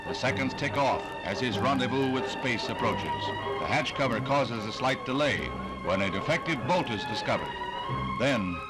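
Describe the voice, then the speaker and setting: A man's voice: mid-range voice, animated, normal speed, poor audio. An American man narrating a 1960s newsreel in a dramatic, grandiose announcer's delivery, on an old film soundtrack. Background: loud music.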